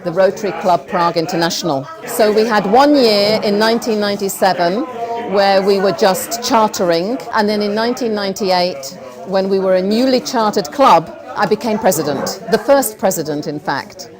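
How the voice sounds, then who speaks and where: A woman who grew up speaking Czech at home, a recruitment consultant speaking in a measured way with frequent ums in a documentary interview. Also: mid-range voice. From England. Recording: busy crowd noise behind.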